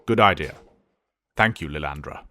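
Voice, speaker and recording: A man playing a dignified older mentor in an audio drama, grave, measured and resolute. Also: very deep voice, sharp tone. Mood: grave resolve.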